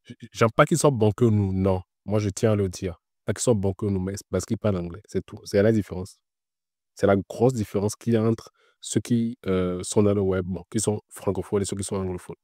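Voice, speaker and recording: Low dark-voiced man giving advice. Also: fairly even intonation, a little fast, dry recording, studio quality. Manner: explanatory, repeats points, frequent filler words. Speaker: interview guest working in Web3. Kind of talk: casual advice-giving interview.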